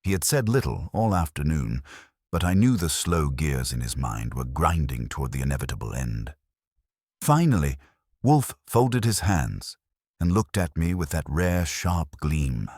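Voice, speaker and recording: A man narrating a detective story in a measured, dramatic literary style, as for an audiobook. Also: very deep voice, very animated, darkish tone, husky, no echo, studio quality. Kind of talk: scripted first-person detective story narration.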